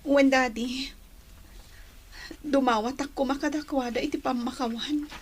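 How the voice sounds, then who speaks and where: A woman playing a remorseful, humbled grown daughter in an audio drama, with a husky, breathy delivery. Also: high-pitched, steady tone, unhurried, a little hiss or hum. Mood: humbled, apologetic.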